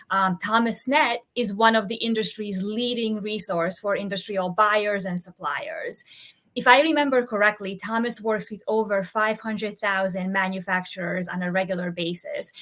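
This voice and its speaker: Host: woman, mid-pitched, formal and scripted in tone. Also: a bit bright. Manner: formal, reads out a prepared introduction. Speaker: interviewer, host. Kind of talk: prepared interview questions.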